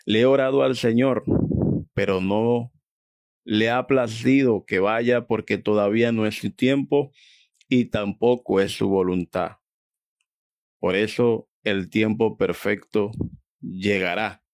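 A man with a Venezuelan accent and a fairly low, creaky voice. He shares a personal religious testimony very slowly, in reflective, halting phrases. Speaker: church member giving a testimony.

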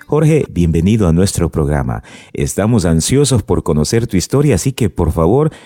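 A man opens a religious radio program with a formal, scripted welcome. His voice is low and mellow, rising and falling in a lively way. A faint music bed sits beneath him. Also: moderate pace, breathy edge. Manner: formal announcer delivery.

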